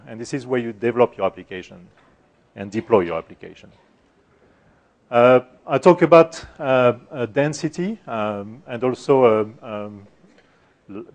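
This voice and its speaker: A middle-aged man with a French accent and a soft voice, giving a technical conference talk with many fillers and restarts. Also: medium pitch. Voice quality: soft-spoken. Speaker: conference speaker. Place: conference room.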